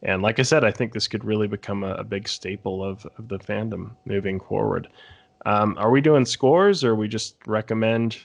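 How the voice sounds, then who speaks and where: A man's voice: on the low side, normal speed, gravelly. A man speaking casually as a podcast panelist, giving his recommendations in a review discussion.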